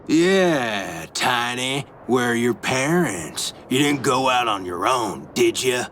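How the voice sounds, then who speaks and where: A man's voice: medium pitch, animated, slow, a bit bright, slight background noise. A man playing a dim, bullying henchman in a game, taunting as he talks. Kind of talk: animated game voice acting. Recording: music behind the voice.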